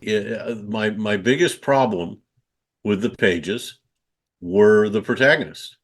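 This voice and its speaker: Slow, low-voiced man reviewing. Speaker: industry reviewer giving feedback. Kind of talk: spoken critique.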